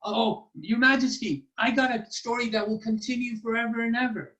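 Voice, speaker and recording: A man puts on an eager, bumbling storyteller from a storybook. He is nervous and fawning, with a high-pitched voice. His speech halts and stammers as he tries to please. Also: steady tone, sharp tone, poor audio. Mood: eager, halting. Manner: halting, stammering, obsequious. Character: nervous, eager, bumbling male storyteller pleading before royalty.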